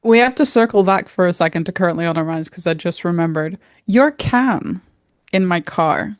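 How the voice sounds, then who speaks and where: A young woman co-hosting a podcast, telling stories in a rambling, animated way and swearing freely. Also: fairly low pitch, normal speed, dry recording, studio quality. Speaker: podcast co-host. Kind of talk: casual storytelling chat.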